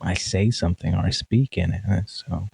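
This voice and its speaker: A man guesting on a podcast, talking in a relaxed way about his work, with a sleepy, nasal voice and many fillers. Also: low voice, moderate pace, creaky, breathy edge, dry recording. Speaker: guest, pedal demo creator. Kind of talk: casual interview.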